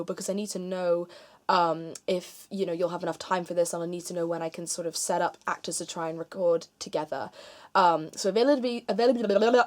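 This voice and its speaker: A woman's voice: on the low side, darkish tone. A woman in her twenties explaining things informally to camera, rambling a little and correcting herself as she goes. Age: 20s. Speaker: video creator announcing voice acting auditions. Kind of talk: casual explanatory talk to camera. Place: small domestic room, indoors.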